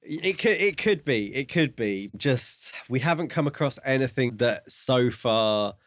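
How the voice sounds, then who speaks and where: A man's voice: medium pitch, animated, measured pace, a bit bright, dry recording, clean studio sound. A man co-hosting a podcast in a casual discussion about a book. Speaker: podcast co-host.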